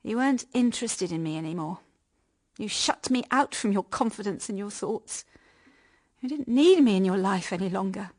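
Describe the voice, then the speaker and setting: An actress playing a pleading, proud young British wife in a period radio drama, speaking with emotional, halting delivery. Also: female voice, medium pitch, lively intonation, a little mellow, close to an everyday voice. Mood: sad, reproachful. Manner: emotional, halting, stammering under strain.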